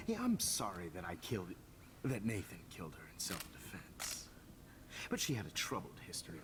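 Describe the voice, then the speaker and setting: An actor playing a menacing, condescending middle-aged teacher turned kidnapper in a video game, creepy and given to flaring rage. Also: male voice, deep voice, full character voice. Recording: noisy game capture.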